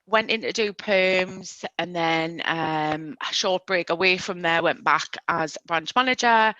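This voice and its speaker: A woman with a Geordie accent, a recruitment consultant, talking about her work in a friendly, steady way. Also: fairly low pitch, a little slow, no echo, highs cut off. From Newcastle, England. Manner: friendly, explains steadily with fillers. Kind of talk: casual podcast interview.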